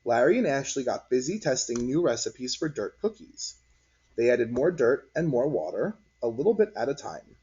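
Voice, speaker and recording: A man reading a children's picture book aloud as narrator, in an expressive, deliberate storytelling manner. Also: medium pitch, slightly rough. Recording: dull lo-fi recording.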